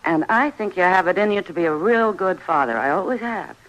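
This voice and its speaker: An American actress playing a warm, teasing frontier saloon woman, the marshal's confidante, in a 1950s radio western. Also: lowish voice, animated, fairly quick. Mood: teasing, wistful. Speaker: supporting actress. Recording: noisy old radio broadcast recording.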